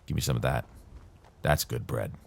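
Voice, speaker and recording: A man casually rambling while playing a game, his throat dry and tired, with a calm, soothing way of talking. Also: a bass voice, normal speed, gravelly. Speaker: gaming channel host. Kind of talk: casual rambling commentary over gameplay.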